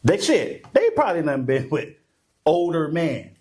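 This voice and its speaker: A man co-hosts a casual podcast, blunt and quick to joke. He talks slowly, his pitch swinging widely, and he laughs loudly at his own lines. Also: huge pitch swings. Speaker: podcast co-host. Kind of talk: casual chat.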